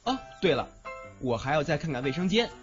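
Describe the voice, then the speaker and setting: A man acts a young student tenant in an audio drama, fussy and insistent. His pitch moves around a lot. The sound is slightly dull, with music behind him. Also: big pitch swings, a little fast. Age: young adult. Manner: nitpicking, insistent. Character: fussy, hard-bargaining young male student renting a flat. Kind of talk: Chinese audio drama acting. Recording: muffled recording with music behind.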